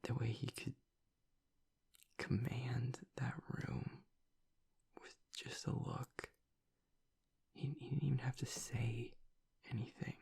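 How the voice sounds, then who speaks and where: Casting: male actor as tough young werewolf boyfriend in audio roleplay, grief-stricken and choked up, very husky half-whisper, slow and halting delivery. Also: medium pitch, little pitch movement, a little mellow, exaggerated voice. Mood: reverent, sorrowful. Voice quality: breathy, gravelly near-whisper. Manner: halting, hesitant, confiding. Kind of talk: intimate audio roleplay monologue.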